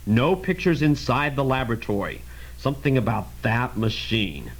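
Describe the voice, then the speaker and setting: Radio drama detective, male actor: weary lieutenant, creaky voice, clipped and hard-boiled. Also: mid-range voice, animated, normal speed, poor audio. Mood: matter-of-fact, probing. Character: weary, matter-of-fact male police lieutenant investigating deaths.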